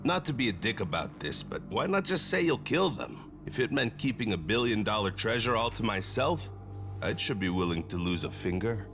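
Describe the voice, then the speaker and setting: A blunt, greedy crewman in a video game. The voice is lowish with swinging intonation and a wry, cynical casualness. Faint music plays behind. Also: very animated, normal speed. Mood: skeptical. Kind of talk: English dub video game voice acting.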